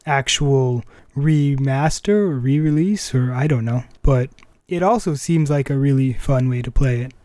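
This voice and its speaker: A man's voice: mid-range voice, normal speed, dark tone, no room sound. A man hosting a podcast, chatting casually about pop culture. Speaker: podcast host. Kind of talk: casual chat about anime music and games.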